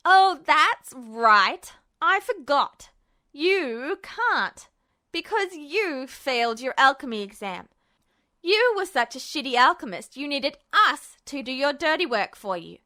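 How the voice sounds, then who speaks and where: Woman acting a high-pitched, furious young boy. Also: very animated, slow, full character voice. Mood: cruelly taunting. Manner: shouting, taunting, sweary. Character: furious, defiant, mocking twelve-year-old boy.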